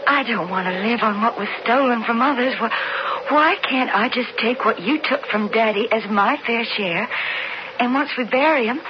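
A woman's voice: a little high, close to an everyday voice. A woman playing a determined young frontier woman in a radio western, with an American accent and a direct, plain-spoken manner. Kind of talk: radio drama acting. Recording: muffled, noisy old radio broadcast.

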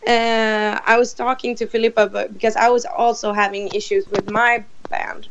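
A raspy-voiced woman from Sweden in her thirties, a rock singer answering interview questions in a chatty way with lots of fillers and restarts. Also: on the high side, little pitch movement, moderate pace, muffled recording.

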